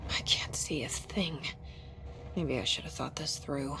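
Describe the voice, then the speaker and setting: An actress playing a determined, wry game heroine thinking aloud, often muttering in a half-whisper. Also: female voice, sits low, a little slow. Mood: wary, wry. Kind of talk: inner monologue in a game. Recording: loud music over the voice.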